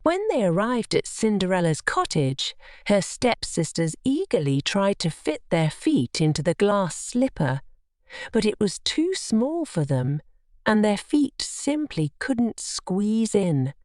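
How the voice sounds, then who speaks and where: A woman narrating a scripted children's bedtime story in an expressive, measured storytelling manner. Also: mid-range voice, no room sound, clean studio sound.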